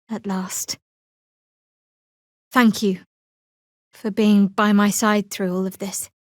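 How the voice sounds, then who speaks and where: A woman playing a guarded, sardonic young female cleric of a dark goddess in a video game, with a dry, wry delivery. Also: mid-range voice, no room sound, natural voice. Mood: tender, vulnerable. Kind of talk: video game character dialogue. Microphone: close studio microphone, dry.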